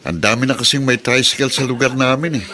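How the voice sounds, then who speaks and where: A man's voice: medium pitch, steady tone, fast, bright, forceful tone, lightly acted. A man with a Filipino accent playing an easygoing, slightly weary tricycle driver in a radio drama, talking casually like an old buddy. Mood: friendly, earnest. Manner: casual, chummy.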